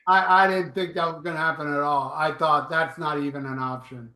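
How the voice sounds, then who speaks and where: A sixty-year-old man joking his way through a relaxed podcast chat. His voice sits high for a man. The recording has a roomy echo around him. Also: a little fast. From South Florida. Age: 60s. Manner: joking, teasing banter. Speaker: podcast co-host. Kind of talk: casual chat.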